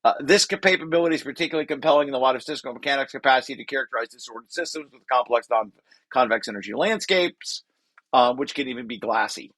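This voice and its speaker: Halting man explaining technical material, dry sound. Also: medium pitch, a bit bright, no echo, rough recording. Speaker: presenter and paper author. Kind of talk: technical table read with explanatory asides.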